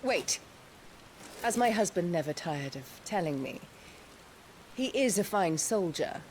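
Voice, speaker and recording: An actress playing a cold, regal, middle-aged noblewoman in a video game, speaking in a haughty, measured and formal way. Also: on the low side, expressive, noisy background. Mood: cold, commanding. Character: cold, regal noblewoman, grieving yet ruthless mother. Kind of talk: dramatic video game acting.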